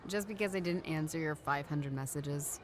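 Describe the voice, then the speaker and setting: A woman's voice: sits low, normal speed, background noise, natural voice. An actress playing a guarded, inquisitive young woman detective in a video game, dry and matter-of-fact. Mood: sardonic. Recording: music behind the voice.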